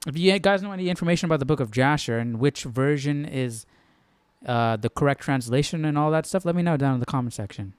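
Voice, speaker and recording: Casual male livestream host, average pitch, close dry sound. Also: lively intonation, fast, clear, resonant tone, no echo. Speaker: host of a Bible study livestream. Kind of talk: casual live commentary on a reading.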